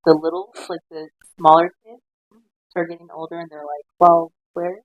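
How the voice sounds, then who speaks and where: A woman's voice: fairly low pitch, little pitch movement, moderate pace, dry recording, narrow, tinny audio. A woman in her thirties chatting casually with her friends as a guest. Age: 30s. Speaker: podcast guest. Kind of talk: casual chat among friends.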